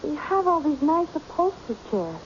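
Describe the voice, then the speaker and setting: A woman's voice: high-pitched, big pitch swings. An actress playing a timid, naive and obedient woman who speaks hesitantly, in old-time radio mystery style. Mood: meek, puzzled. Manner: hesitant, meek, halting. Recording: dull, noisy old radio recording.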